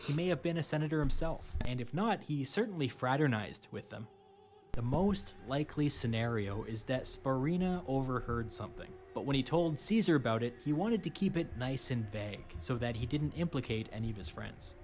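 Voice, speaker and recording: A man narrating a scripted history documentary in a dry, wry, measured way. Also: medium pitch, animated, a little mellow, a little hiss or hum.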